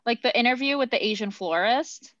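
A woman's voice: a little high, a little fast, a bit bright, no echo, muffled recording. A woman co-hosting a podcast, chatting casually about a movie in a rambling way with lots of fillers. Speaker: podcast co-host. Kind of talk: casual movie review chat.

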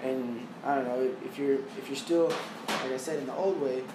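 A man's voice: medium pitch, fairly even intonation, normal speed. A young man in his twenties chatting casually, rambling loosely with lots of "like" and casual swearing. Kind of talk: casual chat. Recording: roomy, distant mic with background noise.

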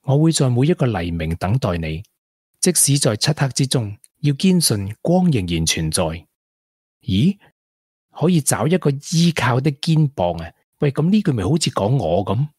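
An actor playing a supportive, sensible young boyfriend in a Hong Kong Cantonese radio drama. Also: male voice, medium pitch, sing-song, normal speed, darkish tone, husky, no room sound, studio quality. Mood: startled, puzzled.

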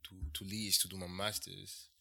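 A young man with a French accent, speaking in a very deep, gravelly voice with a bright, forceful edge. He talks in a flat, even tone and pauses often on "uh" as he tells his story. This is casual interview talk from a podcast guest. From France. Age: young adult. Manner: measured, pauses with frequent "uh" and "um".

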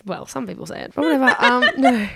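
A woman's voice: a little high, fast talker, gravelly, noisy background. Young woman losing her voice. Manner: relaxed, joking, agreeable. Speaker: podcast co-host. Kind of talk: casual chat. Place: home studio at night.

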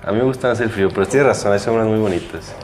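A Mexican man with a fairly low voice, a little hoarse and stuffed up with a cold, co-hosts a casual podcast. He teases and interjects as he chats, with faint music behind him. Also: rapid-fire, darkish tone. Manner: teasing, interjecting. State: slightly hoarse and congested from a cold. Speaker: podcast co-host. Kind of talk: casual, bantering podcast chat.

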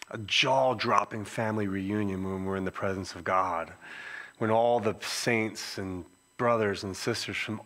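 A man's voice: lowish voice, fairly bright tone. A middle-aged man from Philadelphia with a Philadelphia accent, a pastor giving a conversational sermon introduction with frequent restarts. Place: church sanctuary.

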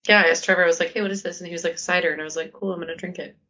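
Brief: woman podcast co-host, low, commanding, bright tone, chatty, slightly lo-fi. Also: fairly even intonation, rapid-fire. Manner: joking, chatty, says "like" often. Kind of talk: casual podcast chat, telling a history story.

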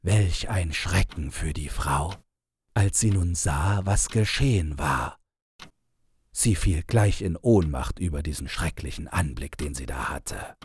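Deep-voiced, unhurried male storyteller. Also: expressive, breathy edge, dry recording. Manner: measured, deliberate storytelling. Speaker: narrator. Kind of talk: scripted fairy-tale narration.

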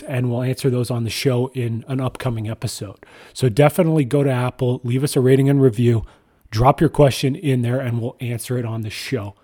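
A man from Canada co-hosts a podcast with a mellow, warm tone at an ordinary pitch. He talks enthusiastically, leaning on repetition for emphasis, moving between a read intro and easy banter. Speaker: podcast co-host. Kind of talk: scripted podcast intro and casual chat.

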